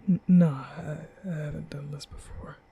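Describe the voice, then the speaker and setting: A man playing a nervous, caring young vampire in an ASMR roleplay, speaking softly and hesitantly with stammers in a breathy whisper. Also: a little high, steady tone, moderate pace. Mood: sheepish, confiding. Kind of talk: ASMR roleplay, soft comforting boyfriend audio.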